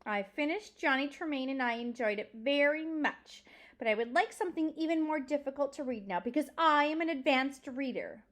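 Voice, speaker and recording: A woman's voice: sits high, normal speed, fairly bright tone, voice pushed up. A woman voicing a smug, prim schoolgirl in a storybook, boastful and snooty in manner. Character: snooty pinch-faced schoolgirl, smug advanced reader.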